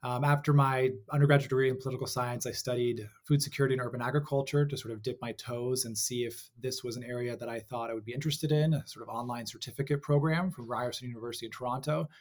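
A young adult man with an American accent, a guest in a conversational interview, speaking in an articulate, measured way. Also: mid-range voice, steady tone. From Hong Kong.

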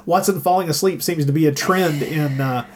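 A man's voice: on the high side, normal speed. A man hosting a podcast in a casual, chatty style. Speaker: podcast host. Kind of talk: casual chat. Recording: faint hum behind the voice.

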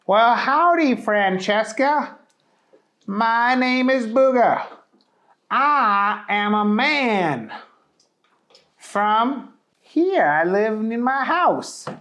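A man voicing a naive, cheerful country bumpkin with a slow, folksy drawl. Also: very high-pitched, animated, fairly bright tone, voice pushed up, heavily acted.

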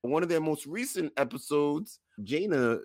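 A man's voice: fairly high pitch, animated, moderate pace, no echo, clean studio sound. A man co-hosting a podcast in casual banter, with an emphatic, mock-incredulous delivery. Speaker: podcast co-host.

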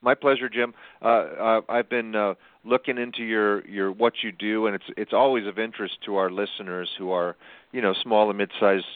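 A man's voice: lowish voice, steady tone, normal speed, dry recording. A man hosting a business podcast, welcoming his guest casually with frequent fillers. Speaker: podcast host. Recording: tinny phone-line audio.

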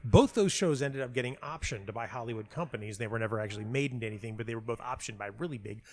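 A man's voice: mid-range voice, fast talker, darkish tone. A middle-aged man telling stories as an interview guest, stammering and repeating words, acting out the dialogue he quotes. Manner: animatedly acts out quoted dialogue. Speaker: interview guest, playwright and audio dramatist. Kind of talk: conversational anecdotal storytelling.

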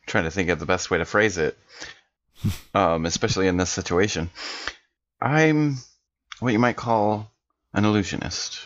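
Deep voiced guy playing a tabletop rpg, measured and casual, muffled lo-fi mic. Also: a bit bright. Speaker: tabletop game player. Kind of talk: casual in-character roleplay. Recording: dull, lo-fi microphone sound.

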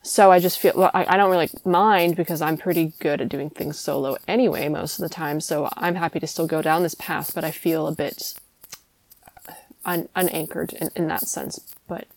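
A woman's voice: low-pitched, steady tone, normal speed. A young woman hosting a podcast, chatting casually and laughing often. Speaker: podcast host. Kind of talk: casual chat.

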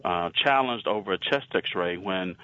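A man from Alabama with a Southern accent, giving a slide lecture in a measured way, with many pauses and restarts. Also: deep voice, bright, forceful tone, lo-fi sound. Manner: measured, frequent uh fillers and restarts.

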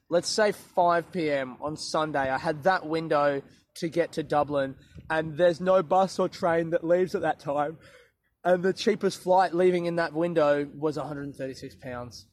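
A comedian rambling through a sweary, self-mocking podcast monologue while walking outdoors. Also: male voice, on the high side.

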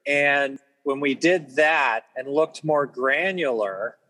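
A man's voice: medium pitch, slow, sharp tone. A man in his fifties from Massachusetts, a guest surgeon chatting relaxedly on a podcast panel. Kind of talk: casual panel talk.

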